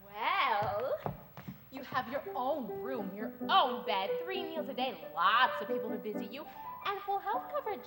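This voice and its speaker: A woman voicing a sly, scheming female cat who taunts in a drawn-out sing-song way in a children's musical story. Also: high voice, big pitch swings. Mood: mock sweet. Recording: roomy echo with faint music.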